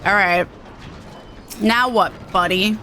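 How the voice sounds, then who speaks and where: A woman's voice: mid-range voice, slow, sharp tone, a little hiss or hum. A woman streamer giving casual game commentary in a playful, reactive way.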